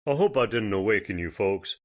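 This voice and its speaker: A man acting a folksy, genial, steady older judge in an old-time radio horror play, speaking with deliberate politeness. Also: on the low side, lightly acted. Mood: polite.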